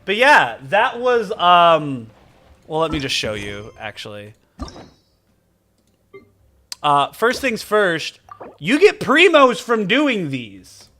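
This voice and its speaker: Male game streamer, voice a bit high, rambling and joking through casual commentary. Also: wildly swooping pitch, takes it slowly, pauses often, sharp tone, a little hiss or hum. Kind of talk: casual comedic gameplay commentary.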